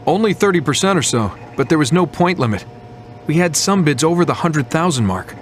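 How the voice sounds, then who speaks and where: An actor playing an obedient, precise aide in a video game, reporting deferentially. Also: male voice, fairly high pitch, expressive, a little fast, slight background noise, close to an everyday voice. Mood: dutiful. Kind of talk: video game cutscene acting.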